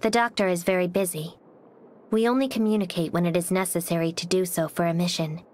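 A woman voicing a cold, reserved, melancholy young swordswoman for a video game, speaking softly and breathily in a quiet, detached, formal manner. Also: fairly low pitch, fairly even intonation, quick pace, noisy background, natural voice. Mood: cool, detached. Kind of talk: scripted game character voice lines.

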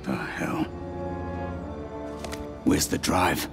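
An actor playing a short-tempered, menacing mob enforcer in a video game, curt and threatening with an American accent. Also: male voice, sits low, very slow, vocal fry, heavily acted. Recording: loud music over the voice.

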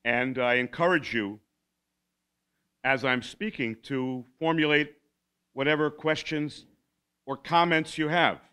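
An older man giving an informal keynote talk at a lectern in a school hall, halting, with many fillers and restarts and wry asides. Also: on the high side, sharp tone, clean tone. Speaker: keynote speaker. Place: school hall with a seated audience. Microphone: podium mic, standing at lectern.

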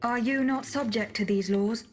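Woman as calm, higher-pitched female automaton. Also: moderate pace, soft background music, natural voice. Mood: measured, inquiring. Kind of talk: game cutscene voice acting.

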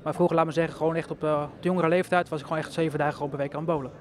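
A young man speaks at a very rapid clip in a slightly high voice. He answers a reporter's questions confidently and plainly. He is recorded on a handheld mic inside a bowling centre. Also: little pitch movement. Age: 20s. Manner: confident, quick, plain-spoken. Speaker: bowling champion interviewed. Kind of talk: casual interview answers.

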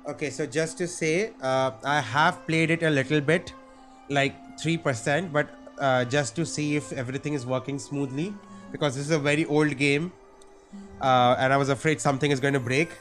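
A man's voice: a little high, steady tone, moderate pace, fairly bright tone. Young man with a sore throat, chatting. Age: young adult. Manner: chatty, joking, wry asides. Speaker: gameplay streamer. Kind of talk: casual let's-play commentary. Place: small cluttered room indoors. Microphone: studio mic on arm, headphones. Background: game music.

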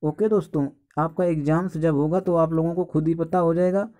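A man's voice: fairly high pitch, fairly even intonation, fairly quick, dark tone. A man giving informal exam guidance as a coaching teacher, instructive and often addressing his students as friends.

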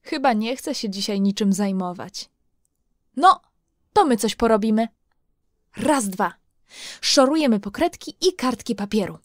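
Slow-paced higher-voiced woman telling children's stories. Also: lively intonation, dry recording, studio quality. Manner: addresses young listeners directly, playful. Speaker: host and storyteller of a children's programme. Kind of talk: storytelling for children.

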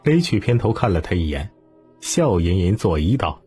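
Low, very husky male storyteller. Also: normal speed, soft background music. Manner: storyteller, expressive. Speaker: audiobook narrator. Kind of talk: dramatic scripted narration of a historical novel.

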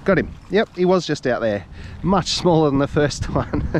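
A man with an Australian accent, talking to himself in casual running commentary as he fishes from a reservoir bank, chatty and quick to laugh. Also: on the high side, animated, a little hiss or hum. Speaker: fishing vlogger. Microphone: head- or chest-mounted action camera.

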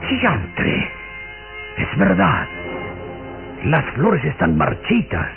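An actor playing an elderly, impoverished duke and anxious father in a radio drama, solemn and deliberate. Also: on the high side, wildly swooping pitch, vocal fry, over music, full character voice. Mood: startled. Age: older. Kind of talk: old radio drama acting. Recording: dull lo-fi old radio recording.

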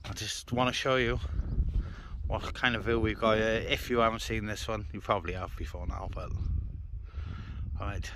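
A man's voice: lowish voice, steady tone, normal speed, a bit bright. A man with a British accent talking casually as a vlogger and CB radio operator. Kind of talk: casual radio chat and vlog talk. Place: parked car on an open moorland car park. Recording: faint background noise.

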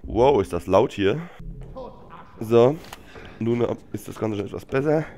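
A man's voice: medium pitch, expressive, a little slow, noisy background. A young man sick with a cold, giving casual, slangy gaming commentary with muttering and swearing into a headset mic. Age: young adult. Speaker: let's player hosting a gameplay series. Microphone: headset microphone. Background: game music and gunfire.

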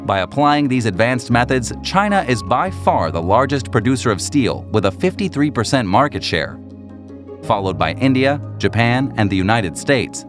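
A man delivers scripted documentary narration over clearly audible music. His mid-pitched voice moves with lively intonation. He explains things in a measured, orderly way, as a narrator does.

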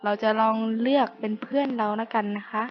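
A woman's voice: fairly high pitch, steady tone, brisk. A young woman giving casual, playful let's-play commentary, slangy and talking to herself while reading game text aloud. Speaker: gamer playing through a horror visual novel. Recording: dull lo-fi mic with loud music. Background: loud background music.

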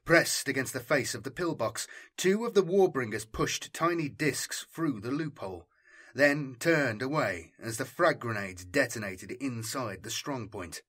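Male narrator, husky voice, mid-range pitch, dramatic scripted delivery, military sci-fi audiobook. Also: animated, a little slow, a bit bright.

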